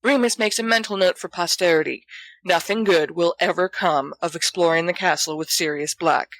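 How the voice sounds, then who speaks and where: A woman's voice: on the low side, normal speed, a bit bright, slight background noise. A woman reading scripted fiction aloud as an audiobook narrator. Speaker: audiobook reader.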